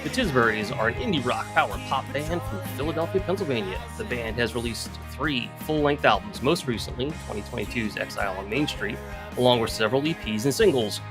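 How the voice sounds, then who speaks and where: A man's voice: medium pitch, expressive, a little fast. A man, a podcast co-host, reading a scripted introduction. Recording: rock music behind the voice.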